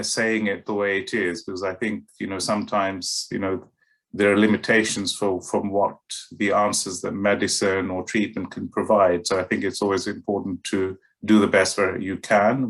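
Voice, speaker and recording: Hesitant middle-aged man, low voice. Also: level tone, moderate pace. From Kenya and West London. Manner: hesitant, many fillers and restarts. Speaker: paediatric doctor. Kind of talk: interview answers to camera.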